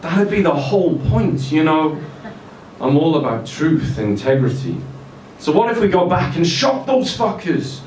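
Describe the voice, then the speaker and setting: A man's voice: fairly high pitch, sing-song, unhurried, darkish tone, pitched above the performer's own voice. A man voicing a grumpy, sardonic dead rock legend from Liverpool, with a nasal Scouse accent and sweary ranting, in a live story reading. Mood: bitter. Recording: roomy distant live-event recording, noisy.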